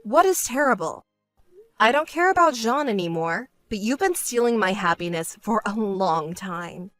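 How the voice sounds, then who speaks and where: A woman's voice: mid-range voice, expressive, normal speed, a bit bright, no echo, soft background music, natural voice. A woman voicing a stern, fed-up sister with a grudge in a dramatized story, cold, firm and scolding. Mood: cold finality.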